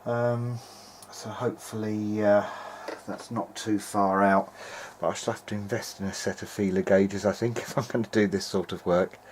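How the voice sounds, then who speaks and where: Male hobbyist, lowish voice, hesitant, chatty DIY repair narration, faint background noise. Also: steady tone, normal speed. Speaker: vintage hi-fi hobbyist narrating own repair video. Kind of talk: informal hands-on repair video diary.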